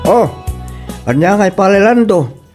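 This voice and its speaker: Blunt, mocking village pal male actor, Ilocano accent, high male voice, comedy audio drama. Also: lively intonation, measured pace, music in the background. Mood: teasing.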